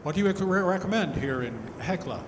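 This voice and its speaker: A man's voice: high-pitched, expressive, fast. A man playing a genteel, curious clergyman and writer with formal, bookish phrasing in an old-time radio play. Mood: courteous, reflective. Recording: echoey hall with background noise.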